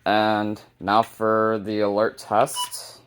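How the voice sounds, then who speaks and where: A man hosting a casual, unscripted hobby video showcase, talking informally and a bit haltingly. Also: on the low side, completely flat delivery, loud music behind the voice. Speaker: hobbyist video host showing a radio collection.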